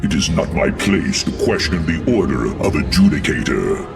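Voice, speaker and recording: A man's voice: extremely low pitch, animated, moderate pace, vocal fry, distant mic, music in the background, heavily acted. A man playing a proud old alien templar warrior in a robotic shell, speaking in a grand, formal, warlike way in a game cutscene. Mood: indignant, proud. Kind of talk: video game cutscene acting.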